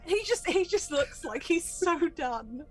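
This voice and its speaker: A woman's voice: very high voice, expressive, takes it slowly, poor audio. A woman running a tabletop role-playing game, improvising narration and explaining rules in a conversational way. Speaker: game master. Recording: background music under the voice.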